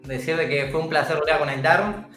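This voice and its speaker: A man with an Argentine accent talks enthusiastically as a guest, encouraging listeners in a somewhat high voice. He speaks casually about training over a video call. The sound carries a roomy echo. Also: brisk, pushed and bright, faint music behind. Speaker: calisthenics instructor guest. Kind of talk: casual interview chat. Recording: roomy echoey call audio.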